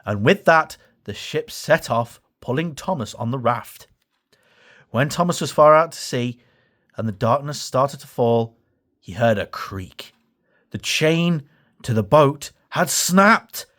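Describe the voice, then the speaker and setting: A man's voice: medium pitch, expressive, slow pace, fairly bright tone. A man reading a children's story as a scripted storybook narration. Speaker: story reader.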